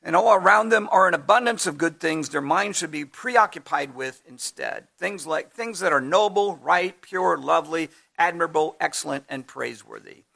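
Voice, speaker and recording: Bright, gravelly-voiced man preaching a sermon. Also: on the high side. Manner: measured preaching with restarts and throat clearing. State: losing his voice. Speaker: pastor.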